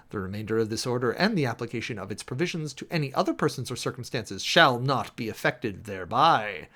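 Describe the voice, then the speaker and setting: A man giving a dramatic reading and close commentary in a formal, deliberate, sermon-like manner with emphatic pauses. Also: medium pitch. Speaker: preacher and commentator hosting a show.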